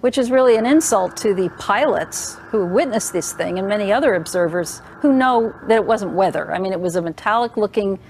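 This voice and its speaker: An American woman speaks in an interview, explaining things conversationally. Her mid-pitched voice has a strong creak, with faint noise behind it. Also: lively intonation, fairly quick, hardly any pauses, a little mellow, low-quality recording.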